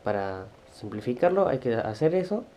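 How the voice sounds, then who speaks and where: An Argentine man giving a casual hands-on tutorial in a halting, explanatory way, rewording as he goes. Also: medium pitch, sing-song, warm tone. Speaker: motovlogger. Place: room at home, indoors. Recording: dull, lo-fi sound.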